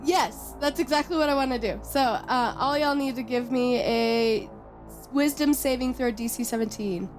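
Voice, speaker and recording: A woman's voice: high voice, moderate pace, soft background music. A woman chatting casually as a guest player in a tabletop game.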